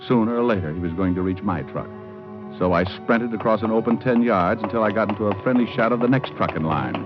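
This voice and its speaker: An actor playing a cool, wisecracking private detective, narrating with dry wit in hardboiled old-time radio style. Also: male voice, lowish voice, fast talker, natural voice. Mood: wry, cool. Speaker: lead and narrator. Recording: dull old radio recording. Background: music.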